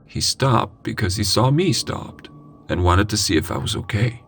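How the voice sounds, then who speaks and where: A man reading a scary first-person story in a dramatic, suspenseful way with deliberate pauses. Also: on the low side, expressive, fairly bright tone. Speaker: horror story narrator. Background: music.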